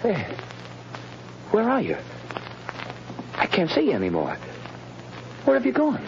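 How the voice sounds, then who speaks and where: An actor playing a frightened condemned man who pleads in a strained voice that jumps high, in a 1930s radio drama. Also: wildly swooping pitch, measured pace, creaky, darkish tone, highs cut off, heavily acted. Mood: panicked. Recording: scratchy 1930s radio recording with hiss.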